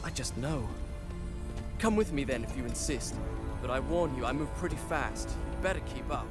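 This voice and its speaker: A man's voice: mid-range voice, expressive, moderate pace, close to an everyday voice. An actor playing a proud, sardonic young Persian prince, telling his adventure in eloquent, formal, dramatic game dialogue. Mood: wary, grudging. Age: young adult. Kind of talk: dramatic video game voice acting and storytelling. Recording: music behind.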